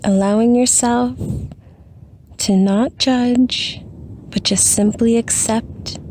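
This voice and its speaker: A woman leading a guided meditation in a soothing, unhurried manner with long pauses. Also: a little high, steady tone, a little mellow, slight background noise.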